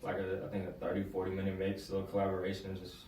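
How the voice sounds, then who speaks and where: A man's voice: low-pitched, monotone, fast talker, darkish tone, a little hiss or hum. A young man from San Diego, a DJ being interviewed, talking in a laid-back, slangy way with lots of fillers. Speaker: guest DJ. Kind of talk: casual interview. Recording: roomy sound, mic at a distance, faint ambience.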